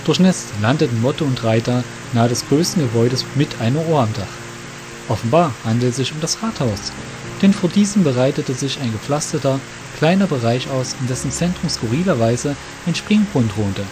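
Male fantasy storyteller over clear music. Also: mid-range voice, lively intonation, moderate pace, poor audio. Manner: descriptive storytelling. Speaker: narrator. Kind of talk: scripted fantasy audiobook narration.

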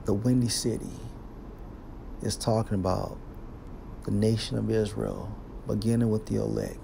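A man teaching scripture, reading verses aloud slowly and explaining each one with a deliberate delivery. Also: fairly low pitch, steady tone, creaky, noisy background. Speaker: Bible teacher.

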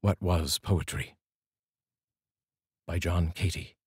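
A middle-aged man with a husky voice, speaking in measured, deliberate phrases like a poetry reader, close to a studio microphone. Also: low voice, darkish tone, no room sound. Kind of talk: poetry reading with casual introduction. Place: small dark indoor studio. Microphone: large studio microphone, close.

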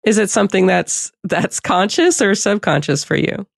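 A man's voice: high voice, lively intonation, normal speed, creaky, dry recording, clean studio sound. A man in his forties interviewing a guest in a casual, conversational way. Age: 40s. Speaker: podcast host, interviewer.

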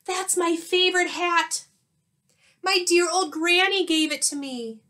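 A woman's voice: extremely high pitch, a little slow, sharp tone, pitched above the performer's own voice, exaggerated voice. A woman voicing a stern, commanding woman teacher in a storybook, seen as a monster. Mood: urgent, dramatic. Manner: barking, commanding.

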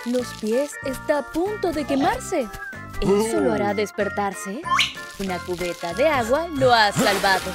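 A woman's voice: fairly high pitch, expressive, measured pace. A woman narrating a scripted children's story in singsong rhyme. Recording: loud children's music behind.

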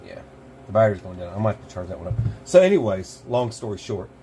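A man's voice: fairly low pitch, very animated, fast, mellow, a little hiss or hum. An American man hosting a casual product demo, talking in a folksy, informal, rambling way. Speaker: host of a prepper gear channel. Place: small cluttered indoor room.

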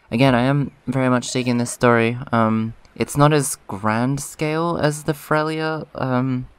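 A man with a hoarse voice casually hosting a let's play, rambling a little and reading game dialogue aloud in a subdued way. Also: medium pitch. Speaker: gaming video host. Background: video game music.

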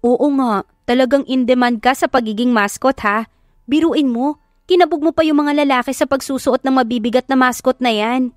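A woman's voice: high voice, normal speed, no echo, clean studio sound, voice pushed up, lightly acted. A woman with a Filipino accent voicing a sassy, joking best friend with campy banter in an audio drama. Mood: admiring. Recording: background music under the voice.

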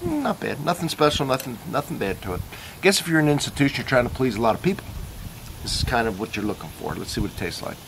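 A man's voice: medium pitch, sing-song, fast talker, creaky, a bit bright, slight background noise, rough recording. A man casually reviewing coffee in his backyard, talking in an easygoing, rambling way as he thinks aloud. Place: backyard outdoors.

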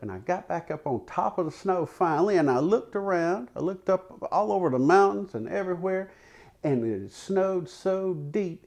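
A man tells a folksy tall tale, unhurried, with dramatic pauses and repetition. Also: fairly high pitch, darkish tone. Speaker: storyteller. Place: living room in a house, seated by a fireplace. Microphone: lapel.

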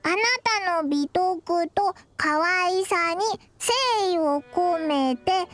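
A woman voicing a tiny, excitable fairy companion girl in an anime game, with a squeaky childlike voice and cutesy, exclamatory delivery. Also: extremely slow, dry recording, soft background music, full character voice. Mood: curious. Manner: exclamatory, cutesy, boyish speech. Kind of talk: Japanese anime game voice acting.

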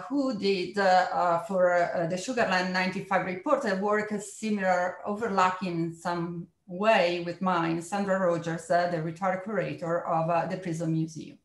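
A woman's voice: medium pitch, roomy sound. A middle-aged woman from Italy with an Italian accent, presenting her research on a video call with frequent hesitant fillers. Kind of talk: online panel presentation. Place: home room, indoors.

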